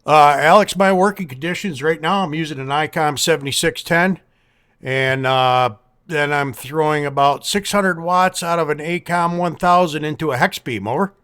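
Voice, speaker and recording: A man's voice: a little high, normal speed, bright, forceful tone. Plain-spoken man chatting as radio operator. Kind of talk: casual ham radio contact.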